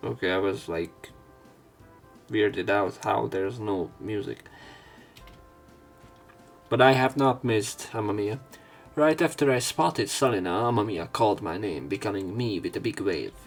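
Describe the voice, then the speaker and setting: A man's voice: fairly low pitch, a little slow, long pauses, faint music behind. A young man hosting a let's play, casually commenting and reading narration aloud in a rambling, hesitant way.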